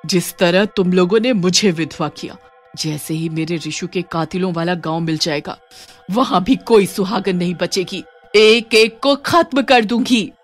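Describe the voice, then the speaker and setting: A woman playing a vengeful, grieving widowed witch in a horror story, speaking in dramatic, drawn-out vows. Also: lowish voice. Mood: menacing. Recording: music under the voice.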